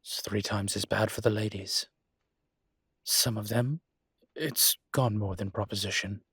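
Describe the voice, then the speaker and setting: A man playing a suave, proud incubus demon in a roleplay audio drama, speaking in a husky voice with wry, articulate, emphatic phrasing. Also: on the low side, steady tone, unhurried, a bit bright, no echo, exaggerated voice. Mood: indignant, protective. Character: suave adult male incubus demon, proud, wry, protective of his kind. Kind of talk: roleplay audio drama, intimate conversational monologue.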